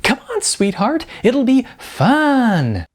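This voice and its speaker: Man putting on a super chipper, high-pitched gushing acting coach. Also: wildly swooping pitch, takes it slowly, exaggerated voice. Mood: gleeful, chipper. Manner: exclamatory, stretches words out in glee.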